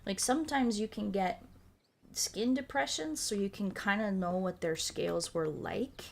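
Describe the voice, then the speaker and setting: A woman's voice: mid-range voice, measured pace, creaky, slight background noise. A woman streamer chatting casually and enthusiastically, rambling off on tangents and swearing freely. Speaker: video game streamer. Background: background music.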